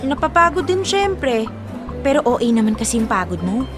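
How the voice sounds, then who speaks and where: A woman's voice: high-pitched, very animated, normal speed, music in the background. A young woman with a Filipino accent playing a loyal, defensive college student in a conversational radio drama. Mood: breezy, defensive. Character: loyal, defensive female college student selling food for friend.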